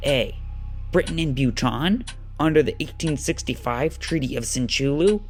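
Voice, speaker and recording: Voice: mid-range voice, animated, moderate pace, soft background music, close to an everyday voice. A performer playing an anxious, earnest young boy thinking aloud during an exam in an audio drama. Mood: worried, puzzled. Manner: muttering to himself, earnest.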